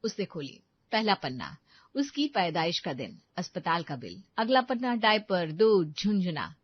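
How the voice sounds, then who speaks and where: A woman narrating a moral story dramatically, in deliberate short phrases. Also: on the low side, big pitch swings, husky, lo-fi sound.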